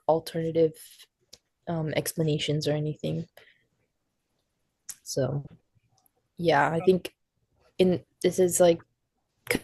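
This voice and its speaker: A woman's voice: on the low side, gravelly, dark tone, no echo. A young college woman with a stuffy nose, hesitantly reading out her notes in a study group. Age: young adult. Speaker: college student.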